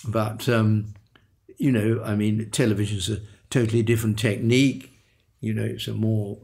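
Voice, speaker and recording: Older male guest, gravelly and unhurried, stumbles and restarts, low voice. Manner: halting, repeats words, chuckles softly. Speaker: veteran voice actor guest. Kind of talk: relaxed reminiscing interview.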